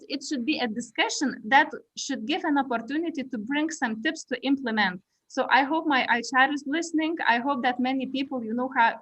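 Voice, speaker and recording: A woman's voice: high-pitched, fairly bright tone, no room sound, low-quality recording. A woman, moderating an online panel over a video call, hesitant with many restarts. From Lithuania. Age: 30s.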